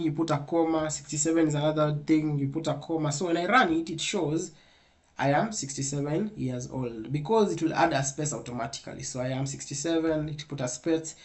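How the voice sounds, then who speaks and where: Coding teacher, mid-pitched, patient, repeats each step; online class on a video call. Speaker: programming instructor.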